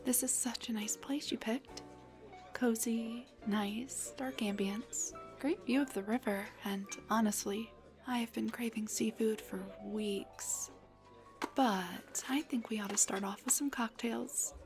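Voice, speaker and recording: Woman voicing a nervous dullahan girlfriend, audio roleplay, rambling and sweetly awkward, unhurried pace, soft music behind. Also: on the high side, steady tone. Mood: shy, pleased. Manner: flustered, rambling, hesitant, soft-spoken. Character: shy, nervous female dullahan on a blind date. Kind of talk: intimate scripted audio roleplay addressed to the listener.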